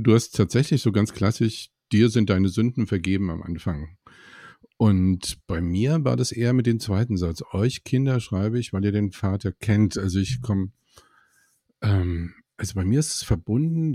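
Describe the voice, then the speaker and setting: A man's voice: lowish voice, warm tone, slightly rough, no echo, clean studio sound. A middle-aged man telling his faith story in a candid, wry, conversational way.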